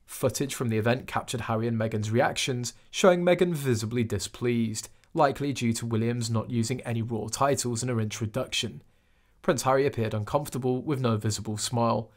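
A man reading a scripted celebrity news narration in an even, uninflected way. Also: medium pitch, brisk.

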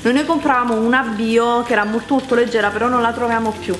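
A woman's voice: on the high side, fairly even intonation, quick pace, slight background noise. A woman in her thirties hosting a casual cooking vlog in her home kitchen, chatty and enthusiastic, repeating words for emphasis. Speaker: cooking channel host. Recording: echoey kitchen with music behind.

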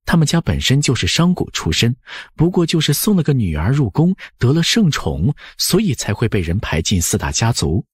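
A man narrating an audiobook as expressive scripted storytelling, with a husky voice. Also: mid-range voice, normal speed, hardly any pauses, dry recording, clean studio sound.

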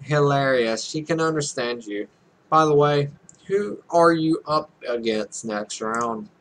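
Young man, bright forceful tone, higher-pitched, let's play host, reading game dialogue aloud, offhand remarks. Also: lively intonation, takes it slowly. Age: young adult. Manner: offhand, stumbles while reading, swears casually. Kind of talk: reading a visual novel aloud with casual commentary.

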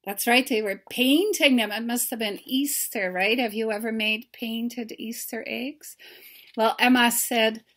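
A woman in her sixties telling a story to children as its author, pausing to put questions to them. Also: fairly high pitch, fairly even intonation, a bit bright. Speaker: children's author reading aloud. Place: book-lined home study.

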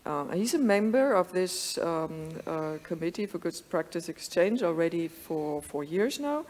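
Woman session chair, lowish voice, conversational, reverberant hall. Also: animated, moderate pace, darkish tone. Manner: conversational, encouraging, some fillers. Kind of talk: moderating a panel. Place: large conference hall.

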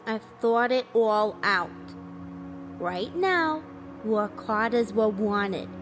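A woman's voice: on the high side, lively intonation, slow, slight background noise. A woman playing a cynical, defiant survivor of an alien invasion in a radio play, with blunt, folksy, clipped phrasing. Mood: bleak, blunt. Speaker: actor in an amateur radio drama.

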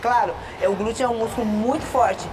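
A woman in her thirties from Brazil, a personal trainer coaching a gym workout, counting reps and giving quick encouragement. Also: fairly high pitch, background noise. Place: large indoor gym. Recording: loud background music.

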